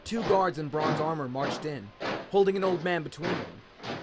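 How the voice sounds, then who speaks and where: A man's voice: on the high side, moderate pace, heavy background noise. A man narrating a story in a dramatic, scripted audiobook style.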